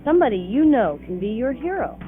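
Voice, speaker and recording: A woman's voice: on the high side, big pitch swings, a little fast. A woman playing an earnest youngster who explains things carefully in an educational film drama. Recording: tinny 1980s 16mm film soundtrack, music.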